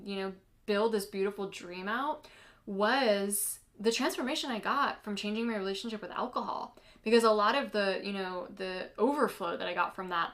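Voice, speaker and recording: A woman's voice: mid-range voice, a bit bright. A woman from the United States whose first language is Polish, hosting a casual solo podcast as a business coach, chatty and earnest with lots of "like" and "you know".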